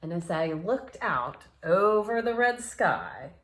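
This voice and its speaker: Woman reading aloud, very animated and unhurried. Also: lowish voice. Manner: playful, expressive, addressing children directly. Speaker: storyteller reading picture books aloud for children. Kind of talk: animated read-aloud with asides to young listeners.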